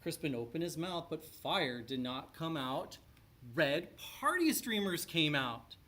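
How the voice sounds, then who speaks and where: Male storybook narrator for children: animated, varied intonation. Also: fairly high pitch, measured pace. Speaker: storybook reader.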